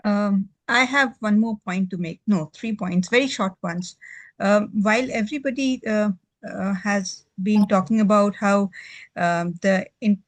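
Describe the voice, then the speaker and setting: A woman's voice: medium pitch, unhurried, dry recording. A woman shares her views in a casual book club discussion.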